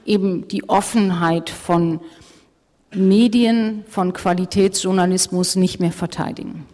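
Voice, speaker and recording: Brisk woman moderating in a hall. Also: lowish voice, steady tone, mellow. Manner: brisk, wry, hurries speakers along. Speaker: panel moderator. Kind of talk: moderating a live panel discussion. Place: conference stage. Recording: reverberant hall.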